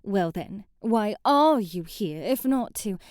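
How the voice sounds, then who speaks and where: A woman's voice: mid-range voice, animated, slow pace, darkish tone, no echo, clean studio sound. A woman playing a haughty, sheltered young princess in a scripted ASMR roleplay, prim and bratty, with formal, old-fashioned wording and an easily flustered temper. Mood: offended, superior.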